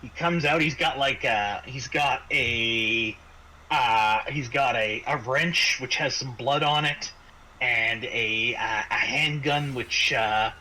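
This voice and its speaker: Halting, bright-voiced man as game master. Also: medium pitch. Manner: halting, repeats words while narrating. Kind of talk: improvised narration of a tabletop game. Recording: noisy home microphone.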